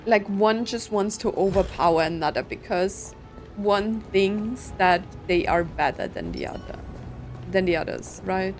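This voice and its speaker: A woman's voice: mid-range voice, measured pace. A woman giving casual game commentary, thinking aloud in a musing, hesitant way. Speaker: gaming streamer. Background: game music.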